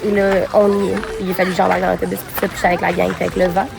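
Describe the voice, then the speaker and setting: A woman's voice: fairly low pitch, little pitch movement, racing, gravelly, rough recording. A young woman with a Québécois French accent, chatting casually to camera as a travel vlogger, gushing and mixing English words into her talk. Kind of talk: casual vlog chat to camera. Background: background music.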